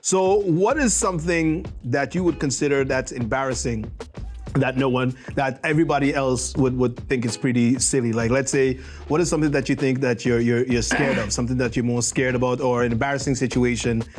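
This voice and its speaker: A man's voice: medium pitch, fairly even intonation, fairly quick. A male host from St. leading a casual hot-sauce interview. He is playful, laughs often and rambles through his questions. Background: background music.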